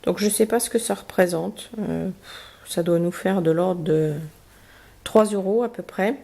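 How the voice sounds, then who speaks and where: A woman with a cold and a blocked nose, chatting through a beauty box review with many pauses and fillers and reading product cards aloud. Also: lowish voice, gravelly, dark tone. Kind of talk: casual unboxing review.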